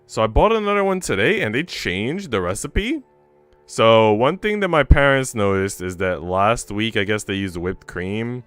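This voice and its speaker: A man's voice: fairly low pitch, fairly bright tone, clean tone, no echo. A man livestreaming, talking in an informal, slangy, rambling way as he chats and reads a visual novel aloud. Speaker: streamer. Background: faint game music.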